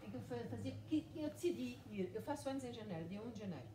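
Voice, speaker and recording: A woman's voice: a little high, lively intonation, fast. A woman in her forties from Mozambique, a writer speaking at a live book event, telling her story emphatically with lots of repetition. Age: 40s. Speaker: author guest. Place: bookshop event room. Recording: echoey room, far mic, noisy.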